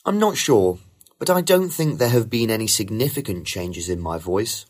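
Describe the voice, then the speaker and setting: Male test candidate, lowish voice, animated, polished scripted delivery. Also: moderate pace. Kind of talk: scripted model speaking-test answers.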